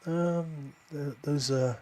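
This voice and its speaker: A man hosting a podcast in a casual, folksy way, halting and often trailing off. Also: a little high, fairly even intonation, darkish tone. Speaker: podcast host. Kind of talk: casual livestream chat.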